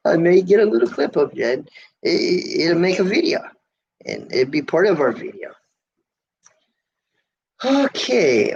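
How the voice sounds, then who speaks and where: Middle-aged male trucker host, a bit high and squeaky, chatty and folksy, faint hiss behind. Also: vocal fry. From United States. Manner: rambling, folksy, with many fillers and restarts. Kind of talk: casual live chat reading viewer comments. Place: motel room. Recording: lo-fi livestream audio, faint noise.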